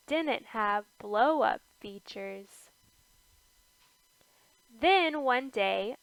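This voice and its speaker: A woman reading a rhyming children's picture book aloud, with deliberate phrasing and pauses between short phrases. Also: fairly high pitch, vocal fry, fairly bright tone, clear, resonant tone, no room sound, rough recording. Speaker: storybook reader.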